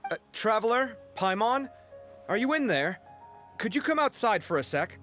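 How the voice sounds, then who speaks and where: Animated, lighter-voiced young man. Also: high-pitched, normal speed, soft background music. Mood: searching. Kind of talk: video game voice acting.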